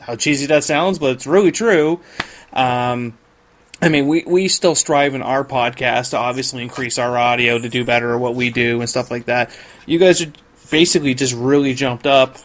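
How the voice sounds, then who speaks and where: A man's voice: medium pitch, moderate pace, fairly bright tone, a little hiss or hum. A man hosting a podcast in casual chat, rambling and often interrupting himself. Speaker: podcast host.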